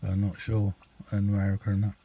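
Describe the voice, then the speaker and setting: A man's voice: lowish voice, completely flat delivery, a little fast, warm tone, dry recording. A middle-aged or older British man giving a casual, unscripted hobby demonstration in a rambling, hesitant, easygoing way. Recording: clearly noisy amateur home recording.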